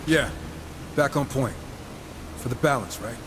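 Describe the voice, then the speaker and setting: A man's voice: medium pitch, expressive, unhurried, heavy background noise, lightly acted. An actor playing a wisecracking, irreverent horseman of the apocalypse in a game, with sarcastic, casual banter. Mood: candid. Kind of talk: video game cutscene acting.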